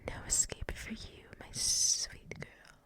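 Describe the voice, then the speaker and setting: A woman playing a doting, teasing, gently dominant mistress in an ASMR roleplay, breathy and mostly whispered, cooing close to the microphone. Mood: teasing, possessive. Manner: slow, cooing, teasing, drawn-out pauses and hums. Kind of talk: unscripted ASMR roleplay, addressed to the listener.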